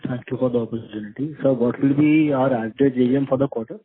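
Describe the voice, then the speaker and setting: Male analyst caller: Indian accent, rough-edged husky tone, respectful yet insistent, narrow phone-line audio. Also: medium pitch, steady tone, fast talker. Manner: deferential, persistent, often says sir. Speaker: analyst caller asking questions. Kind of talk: spontaneous conference call questions.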